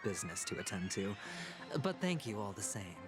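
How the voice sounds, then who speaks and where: An actor playing a smooth, charming, scheming gambler in a video game, with a teasing, theatrical manner. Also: male voice, fairly low pitch, very animated, moderate pace. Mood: smoothly polite. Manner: suave, sighing, teasing, theatrical. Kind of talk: scripted video game voice acting. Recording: background music behind the voice.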